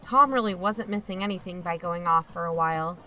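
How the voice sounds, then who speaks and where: A woman's voice: lowish voice, fairly quick, sharp tone, soft background music. A woman playing a watchful youth-camp chaperone who narrates an audio drama in a confiding first person. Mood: reflective, uneasy.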